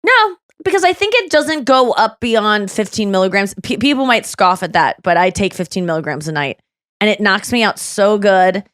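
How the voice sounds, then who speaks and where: Dry close studio sound: a woman host with a slightly smoky morning voice, talking fast and loose. She tells stories in a chatty way and jumps in with little impressions. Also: medium pitch, expressive, fairly bright tone, no echo. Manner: chatty, quick asides and impressions. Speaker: podcast host. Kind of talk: casual chat. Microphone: studio microphone.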